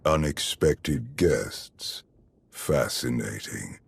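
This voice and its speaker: An actor playing an imperious, ancient evil emperor in a video game, measured, pompous and detached, with a gravelly, husky voice. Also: male voice, extremely low pitch, no room sound, full character voice. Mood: cool curiosity. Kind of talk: dramatic video game acting.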